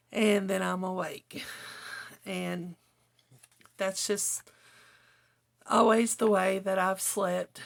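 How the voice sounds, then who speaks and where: A middle-aged woman with a slightly hoarse voice, chatting casually to viewers as a craft channel host in a homely, rambling way. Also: mid-range voice. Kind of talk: casual chat to viewers while journaling, partly reading own notes.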